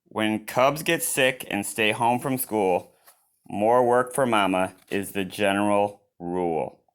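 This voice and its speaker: A man's voice: fairly low pitch, takes it slowly, clear, resonant tone. Man reading a children's storybook aloud. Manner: expressive, exclaiming in asides. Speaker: storybook reader. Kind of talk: children's read-aloud with spontaneous asides.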